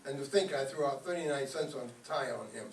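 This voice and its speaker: A man's voice: mid-range voice, brisk, gravelly, lightly acted. A man playing a bickering, tightfisted husband in old-time radio comedy style, wisecracking and exclaiming theatrically. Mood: grumbling. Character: bickering, grumbling, tightfisted husband, excitable and wheedling. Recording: roomy, distant mic with background noise.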